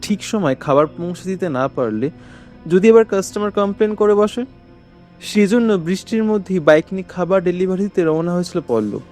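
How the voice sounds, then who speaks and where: A man's voice: high-pitched, animated, fairly quick, darkish tone, faint music behind. A man playing a courteous, subdued young food delivery rider in an audio drama, with a polite, matter-of-fact way of talking. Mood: grave, sorrowful. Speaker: voice actor in an audio story. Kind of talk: dramatized audio horror story dialogue.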